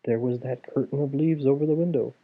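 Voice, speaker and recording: A man's voice: mid-range voice, a little fast. Male storyteller on tinny phone-like audio. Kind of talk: animated reading of a children's fairy tale. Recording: tinny, phone-like narrow sound.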